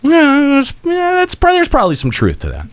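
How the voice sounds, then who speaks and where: A man's voice: very high voice, wildly swooping pitch, a little fast, no room sound. A man hosting a podcast, bantering casually with his co-hosts. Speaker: podcast host.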